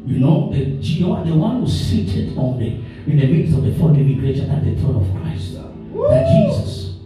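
A man's voice: on the high side, wildly swooping pitch, moderate pace. A man preaching a sermon, building repeated declarations that rise in force. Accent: African English. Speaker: pastor. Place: church hall. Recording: echoey hall from far off with music.

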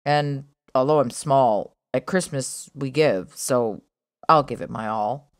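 A woman's voice: a bass voice, slow pace, voice pushed down. A woman voicing a small, cool, eager male cat from a children's storybook, with a laid-back, earnest delivery. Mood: eager, determined.

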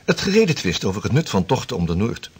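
An actor playing an ardent young sea explorer, tender with his betrothed, in radio drama with a husky, impassioned delivery. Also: fairly low pitch, very animated, fast talker, full character voice. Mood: fervent. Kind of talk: Dutch radio drama acting.

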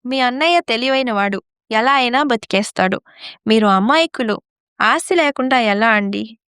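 A woman playing an arrogant, scheming young wife, high voice. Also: moderate pace, clear, resonant tone, dry recording, clean studio sound, lightly acted. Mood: coaxing, scheming. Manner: sharp-tongued, complaining. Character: arrogant, scheming young daughter-in-law, greedy for property. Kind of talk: moral story audio drama acting.

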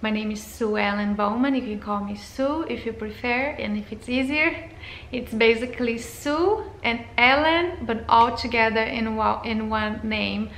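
Brief: thirties Brazilian woman, non-native English, a touch high, gravelly edge, roomy living-room sound, faint hiss. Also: a little slow, a bit bright. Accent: Brazilian Portuguese. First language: Portuguese. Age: 30s. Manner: hesitant, with frequent fillers and restarts. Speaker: vlogger. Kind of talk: casual channel introduction to camera.

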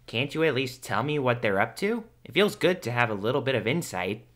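A man's voice: mid-range voice, very animated, a little fast, natural voice. A man voicing an anxious, persistent young hedgehog hero in a fan audio drama, earnest and pleading. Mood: insistent.

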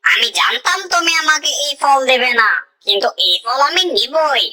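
Woman playing a high, sharp-voiced greedy boy. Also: animated, normal speed. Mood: defiant. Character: greedy, scheming, impatient boy. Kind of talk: Bengali moral-story cartoon voice acting.

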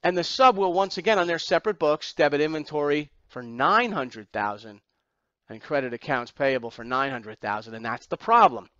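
A man's voice: on the high side, moderate pace, fairly bright tone, no echo, muffled recording. A man teaching an accounting exam class, lecturing through slides step by step with emphatic repetition and rhetorical questions.

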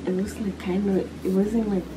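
A woman's voice: mid-range voice, little pitch movement, moderate pace, distant mic, music in the background. A woman in her twenties, a new mother, chatting informally with some slang. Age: 20s. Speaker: vlogger's partner and new mother. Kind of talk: casual chat. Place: small hospital room, in bed. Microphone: vlog camera held by someone else. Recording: clearly noisy room ambience.

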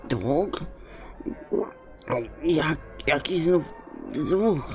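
A drunk, muddled dignitary in an audio drama. He speaks extremely slowly, stammering and slurring, rambling with suspicion. Faint music plays behind him. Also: fairly high pitch. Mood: suspicious. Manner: halting, rambling.

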